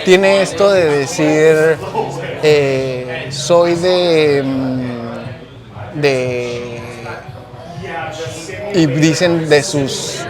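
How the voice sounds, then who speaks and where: A man with a Mexican accent hosting a casual conversation. He talks in an animated, slangy way and searches for words with many fillers. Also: fairly high pitch, very slow, pauses often, crowd noise.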